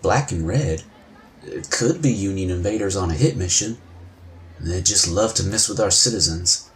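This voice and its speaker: Guy doing a green nervous deputy in an audio drama, hesitant, lowish, slight background noise. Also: close to an everyday voice. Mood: unsure. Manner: hesitant, halting.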